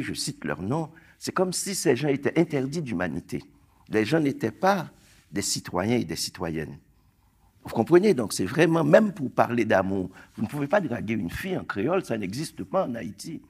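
Deep, husky Haitian poet speaking with passion. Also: male voice, a little mellow. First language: Haitian Creole. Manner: impassioned, gesturing, building to emphatic peaks. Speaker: poet on a panel. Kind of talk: round-table discussion. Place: theatre stage, seated panel.

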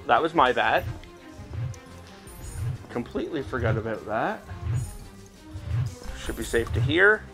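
A man's voice: mid-range voice, very animated, slow pace, pauses often. A man hosting a gaming video, chatting casually to viewers as he plays a VR game. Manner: chatty, talking to viewers while playing. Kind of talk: casual VR gameplay commentary. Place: indoors, playing in a VR headset. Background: game music.

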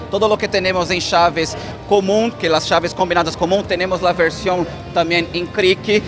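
A man with a Brazilian accent presenting products as an interview guest at a trade expo. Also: high-pitched. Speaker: export manager, interview guest. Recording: music under the voice. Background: background music.

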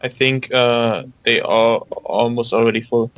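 A young man chats casually over voice call while gaming, wry and full of fillers. His voice sits at a mid pitch and sounds dry and close. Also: steady tone, a little slow, fairly bright tone, no echo. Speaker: gamer on a voice call.

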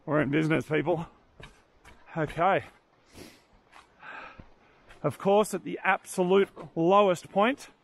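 A man's voice: fairly high pitch, takes it slowly, long pauses. A man in his thirties with an Australian accent, chatting casually to camera as he vlogs outdoors. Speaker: vlogger hosting an outdoor rescue video. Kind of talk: casual vlog chat to camera. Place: outdoors in bushland. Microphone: handheld camera at arm's length.

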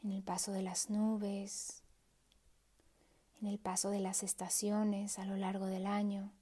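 A woman leading a guided meditation in a soft, hushed, breathy voice with pauses. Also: mid-range voice, completely flat delivery.

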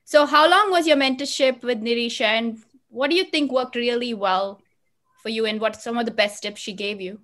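A woman hosting a podcast, reading a scripted intro and asking guests measured, friendly questions. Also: a little high, a bit bright. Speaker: podcast host.

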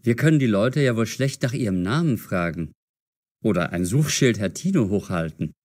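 A man playing a friendly young man caught in a mystery, speaking clearly and deliberately in an audio drama. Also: lowish voice, expressive, darkish tone. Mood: wry.

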